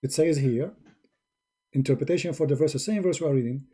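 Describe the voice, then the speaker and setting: Male host with an Arabic accent, dark husky voice, pushy debate style. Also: a little high, fast talker. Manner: insistent, reads out sources, talks over the caller. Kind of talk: live-stream debate.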